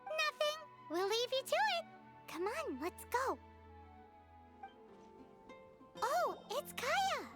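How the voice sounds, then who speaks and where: A woman voicing a tiny, cheeky, childlike fairy companion in an anime-style video game, with a squeaky voice and blunt manner. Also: very animated, unhurried, over music, heavily acted. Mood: suspicious, cheeky. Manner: refers to self in third person, blunt. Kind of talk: English anime game voice acting.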